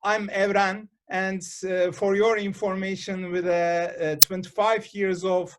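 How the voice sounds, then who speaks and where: A middle-aged man with a Turkish accent hosting a video call interview, halting with many fillers and restarts. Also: high voice, flat delivery, noisy background. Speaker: host and consultant.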